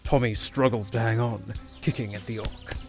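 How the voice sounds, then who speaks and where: A man's voice: fairly low pitch, steady tone, moderate pace. Man narrating fantasy over music. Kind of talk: scripted fantasy audio drama narration. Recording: clear music under the voice.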